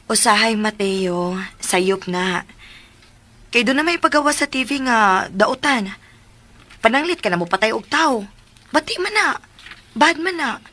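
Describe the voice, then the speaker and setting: An actress in a radio drama playing a kind, patient young woman who explains things gently. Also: on the high side, animated, unhurried, long pauses, full character voice. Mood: patient, instructive.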